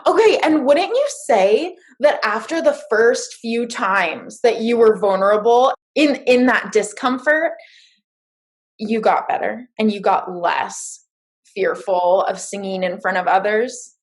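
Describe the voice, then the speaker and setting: A woman's voice: fairly high pitch, animated, unhurried. A woman hosting a casual interview, encouraging and affirming her guest. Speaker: podcast host.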